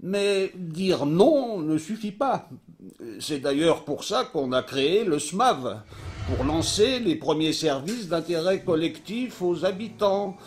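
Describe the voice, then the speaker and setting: A man playing a high-voiced local organiser. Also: animated, slow. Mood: upbeat. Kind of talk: scripted promotional dialogue.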